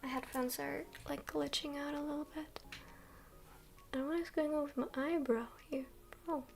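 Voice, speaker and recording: A young woman streamer chatting slowly in a soft, quiet voice, rambling with many ums. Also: high-pitched, fairly even intonation, vocal fry. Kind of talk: soft-spoken livestream chat. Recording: distant from mic.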